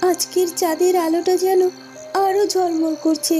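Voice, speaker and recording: Casting: actress for anxious, polite young cartoon heroine, very high soft-toned voice, plaintive delivery, music underneath. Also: female voice, flat delivery, moderate pace. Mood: worried, wistful. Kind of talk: Bengali fairy-tale cartoon dubbing. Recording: music behind the voice.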